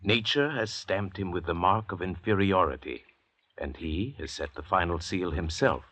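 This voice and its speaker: An actor playing an arrogant, calculating convict doctor who leads his fellows with measured, condescending eloquence in an old-time radio drama. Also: low-pitched, fairly bright tone. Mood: haughty disdain. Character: cold, arrogant, commanding convict doctor, calculating leader of escapees. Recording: dull, noisy vintage radio recording.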